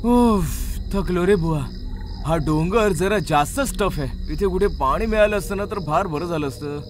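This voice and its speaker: Man voicing an adventurous, high-voiced young traveller. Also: normal speed, a little hiss or hum, close to an everyday voice. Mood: tired, uneasy. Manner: excited, casual, friendly slang. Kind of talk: dramatised horror story dialogue.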